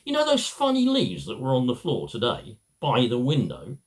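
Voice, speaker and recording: A man's voice: medium pitch, huge pitch swings, moderate pace. A man voicing an earnest, matter-of-fact nine-year-old girl in a storybook, insistent and plain-spoken.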